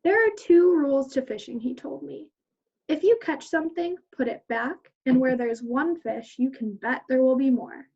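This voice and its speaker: A woman's voice: high-pitched, moderate pace, warm tone, husky, highs cut off. A woman teacher reading a picture book aloud to children in an instructional way.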